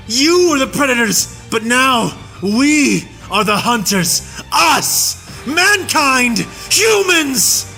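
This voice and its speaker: A man playing an enraged human soldier, tied up and hurling a breathless, shouted tirade of hatred in an audiobook. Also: sits high, vocal fry, pitched above the performer's own voice, heavily acted. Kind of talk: audiobook reading.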